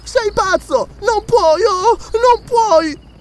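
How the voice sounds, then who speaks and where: A man voicing a protective, indignant young noblewoman with a shrill manner in a storybook reading. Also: very slow, full character voice. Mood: shocked indignation.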